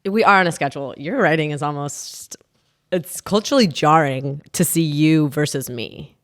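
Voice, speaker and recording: A woman's voice: low-pitched, no echo, clean studio sound. A woman co-hosting a podcast in casual chat, with a nasal voice and a playful, teasing manner. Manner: playful, teasing, quick to laugh. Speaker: podcast co-host.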